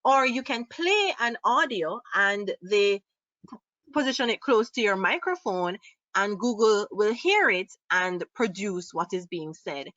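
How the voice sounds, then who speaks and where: A woman with a Jamaican accent presenting a live software tutorial, upbeat and quizzing her audience as she demonstrates. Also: mid-range voice, expressive, a little slow, no room sound, muffled recording. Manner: upbeat, asks the audience questions. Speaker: online workshop presenter. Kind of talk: live screen-share tutorial. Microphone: built-in laptop mic.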